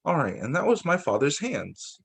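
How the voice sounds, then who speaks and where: A man reading storybooks aloud as a teacher for young children, in an expressive way with the occasional stumble and re-read phrase. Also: fairly high pitch, normal speed, gravelly, husky.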